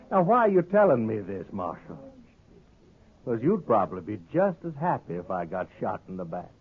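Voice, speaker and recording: A man's voice: fairly low pitch, big pitch swings, moderate pace, pauses often, breathy edge. An American actor playing a sly, cold-blooded gunman in a 1950s radio Western, with a mocking drawl. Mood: defiant, scornful. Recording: muffled, narrow-band old radio recording.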